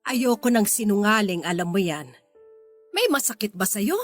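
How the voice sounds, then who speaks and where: A woman playing a worried, kindly older mother in a radio drama, plaintive and gently scolding. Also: medium pitch, animated, moderate pace, natural voice. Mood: gently insistent. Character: worried, kindly but powerless elderly mother figure. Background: music.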